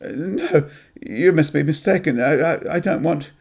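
A man's voice: mid-range voice, voice pushed up, lightly acted. A man doing a stammering, frightened old man. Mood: panicked. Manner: stammering, halting, pleading.

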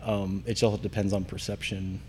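Low-voiced American man chatting, some background noise. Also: little pitch movement, normal speed, a little mellow. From Cincinnati area, Ohio, United States. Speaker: podcast guest, amateur CrossFit athlete. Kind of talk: casual interview chat.

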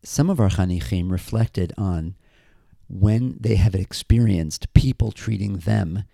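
A man's voice: low voice, a little slow, vocal fry, dark tone, dry recording, studio quality. A man hosting a camp podcast, reading scripted narration between segments. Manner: reads from a script.

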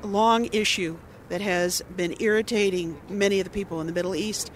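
A man with a high voice speaks with earnest emphasis. He is recorded outdoors on a city street, with clear traffic noise behind him. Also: fairly even intonation, normal speed. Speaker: protester interviewed on the street. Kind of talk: impassioned political opinion.

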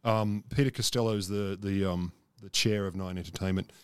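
Deadpan Australian co-host, brisk delivery, low voice, sarcastic asides. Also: male voice, clear, resonant tone, dry recording. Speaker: podcast co-host. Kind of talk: casual political chat.

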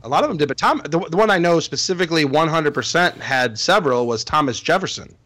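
Loud animated male co-host, dry studio sound. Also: on the high side, no room sound. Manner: loud, halting restarts, laughs often. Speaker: podcast co-host. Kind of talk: casual podcast banter.

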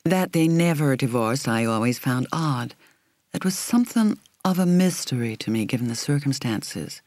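A woman reading a first-person novel as an audiobook narrator, in a measured, reflective, carefully articulated way. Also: a bass voice, lively intonation, a little mellow.

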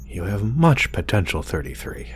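A man's voice: on the low side, very animated, moderate pace, a little hiss or hum. An actor playing an irritable, obsessive scientist muttering hesitantly to himself and his drone in an audio drama. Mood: wary, stern. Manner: muttering, self-reassuring, hesitant, swearing.

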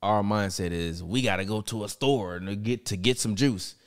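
A man in his thirties, a podcast co-host, in casual banter. His talk is slangy and animated, and his voice rises sharply when he teases. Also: on the low side, normal speed. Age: 30s.

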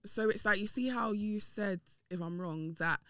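A woman's voice: mid-range voice, normal speed, a bit bright, no room sound, studio quality. A woman speaking as a podcast guest in a casual discussion, candid, emphatic and opinionated. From Dominican and Nigerian heritage.

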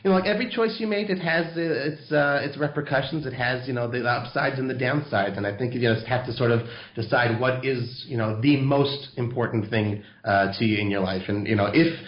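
A man's voice: medium pitch, fairly quick, hardly any pauses. An American man answering interview questions casually, hesitant and full of fillers and restarts. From United States. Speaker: interview guest. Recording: roomy, muffled sound.

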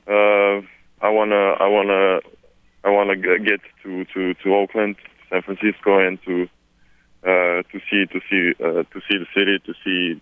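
A young man from Serbia with a Serbian accent, answering reporters on a conference call, halting and repeating words with many fillers. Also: sits low, clear, resonant tone, no room sound. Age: 20s. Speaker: newly drafted basketball player. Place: at home, far from the press. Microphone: telephone. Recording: tinny dry phone line.

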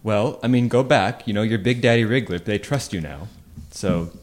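A man acts out an exasperated police handler in an improvised comedy radio play. His voice sits low, and he stumbles into his sentences while insistently pushing his undercover officer. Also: normal speed, close to an everyday voice.

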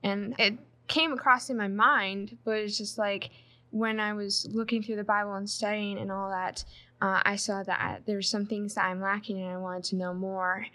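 A woman's voice: medium pitch, flat delivery, normal speed, sharp tone. A woman of twenty from Michigan, a student guest in an interview, speaking hesitantly with many fillers. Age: 20s. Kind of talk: casual interview.

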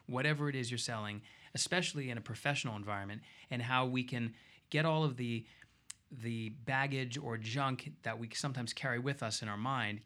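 Male radio host, mid-pitched voice, explanatory monologue, emphatic phrasing, deliberate pauses. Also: a bit bright. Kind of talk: radio talk show monologue introduction.

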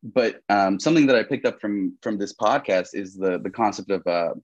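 Low-voiced young man, rambling casually. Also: moderate pace, dry recording, dull audio. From Colombia. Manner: relaxed, rambling, many fillers like "like" and "you know". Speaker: podcast guest. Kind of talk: casual conversational interview.

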